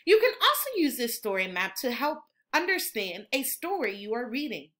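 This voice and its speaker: A woman reading a children's book aloud in an expressive, instructional way, like a teacher. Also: fairly high pitch, moderate pace. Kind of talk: animated children's read-aloud.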